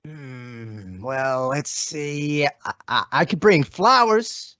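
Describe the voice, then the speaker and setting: A man's voice: mid-range voice, expressive, very slow, bright, forceful tone, no echo, lo-fi sound, full character voice. A man playing a slangy, self-important freeloading boyfriend who bluffs and stalls, in an old-time radio comedy. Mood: scheming.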